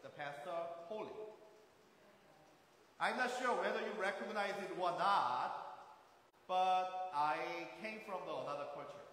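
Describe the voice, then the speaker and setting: A man in his thirties from South Korea with a Korean accent, preaching a sermon as a guest pastor in a careful, measured way. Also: high voice, fairly bright tone. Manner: careful, measured delivery with occasional rephrasing. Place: large church sanctuary. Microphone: far camera at back of sanctuary. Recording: echoey sanctuary, faint hiss, from far off.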